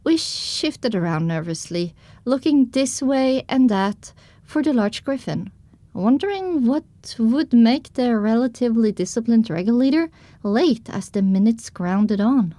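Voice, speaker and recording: High-voiced woman narrating a story. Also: animated, unhurried, mellow, dry recording. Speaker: audiobook narrator. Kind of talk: scripted first-person story narration.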